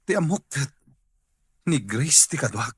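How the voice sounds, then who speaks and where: Actor as a very husky, troubled Ilocano man. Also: on the high side, very animated, measured pace, no room sound, full character voice. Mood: confused, startled. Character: troubled married man, guilty and hesitant. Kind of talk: Ilocano radio drama acting.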